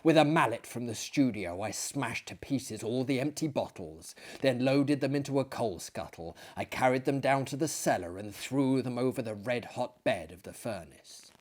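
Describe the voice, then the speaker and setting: A man's voice: medium pitch, lively intonation, close to an everyday voice. Man reading as weary, haunted friend, grave. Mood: grim, resolute. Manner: quiet, grave, deliberate. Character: weary, haunted male friend recounting deaths. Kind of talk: dramatic literary reading.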